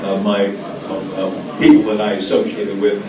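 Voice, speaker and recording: A man's voice: on the low side, monotone, moderate pace, a crowd in the background. An older American man, a former diplomat, telling anecdotes in an interview, hesitant with frequent fillers. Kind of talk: storytelling interview answers. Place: café event room. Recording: echoey room, distant mic, background noise.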